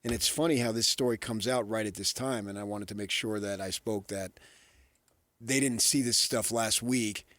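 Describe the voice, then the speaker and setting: A man's voice: lowish voice, fairly even intonation, normal speed, a bit bright, dry recording. An American man co-hosting sports talk radio, speaking casually in rambling anecdotes and asides.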